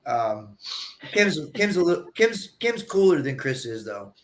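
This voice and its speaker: A man's voice: on the high side, very animated, measured pace. A man co-hosting a casual live stream, rambling through stories and repeating his words as he starts sentences.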